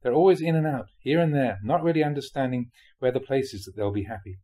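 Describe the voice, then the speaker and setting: A man reading a spiritual talk aloud in a measured, explanatory way, often posing rhetorical questions. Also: medium pitch, warm tone. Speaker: monk reading dharma talks aloud.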